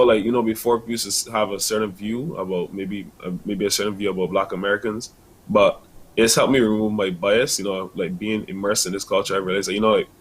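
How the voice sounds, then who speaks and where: Young Barbadian man, low voice. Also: slight background noise, rough recording. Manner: earnest, many fillers and restarts. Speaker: university student guest. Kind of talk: casual interview answers.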